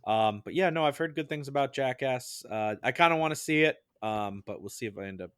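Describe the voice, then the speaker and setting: A man's voice: medium pitch, expressive, fairly quick, fairly bright tone, clean tone, no room sound, clean studio sound. A man hosting a podcast in casual chat, with a teasing, interjecting manner. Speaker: podcast host.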